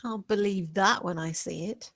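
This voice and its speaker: A woman's voice: medium pitch, moderate pace, a bit bright, dry recording, lightly acted. Woman acting a determined maid, close and dry. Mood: defiant. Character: defiant, determined young maid with secret plans. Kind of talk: dramatic table read.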